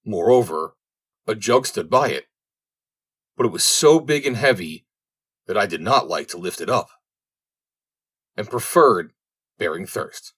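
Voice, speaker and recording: A man's voice: lowish voice, animated, a little slow, long pauses, fairly bright tone, breathy edge, full character voice. A man voicing an idle storybook servant who declaims with self-satisfied smugness about his laziness. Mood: smug, languid. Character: idle servant, smugly boasting of extreme laziness.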